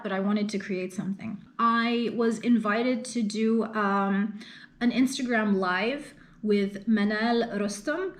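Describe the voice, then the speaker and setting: Chatty woman answering viewer questions. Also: on the high side. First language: English. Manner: earnest and chatty, with fillers and repeated phrases. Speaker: content creator. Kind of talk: casual Q&A vlog talk.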